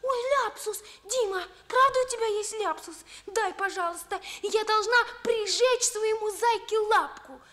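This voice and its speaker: Very high-pitched little sister. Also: female voice, measured pace, few pauses, fairly bright tone. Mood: curious, pleading. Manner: childish, pleading. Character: naive, pestering little sister with a toy hare. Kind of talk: Soviet radio play acting.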